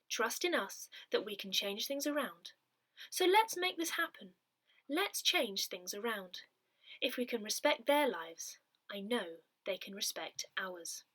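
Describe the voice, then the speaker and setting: Female reader for an audio newsletter: higher-pitched voice, very varied intonation, measured scripted delivery. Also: fairly bright tone. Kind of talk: scripted read-aloud of a parish newsletter.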